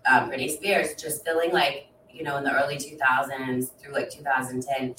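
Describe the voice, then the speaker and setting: A woman's voice: very deep voice, steady tone, normal speed, bright, forceful tone, slight background noise. A woman in her thirties chatting casually, with plenty of filler words. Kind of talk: casual chat. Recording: echoey room, mic at a distance.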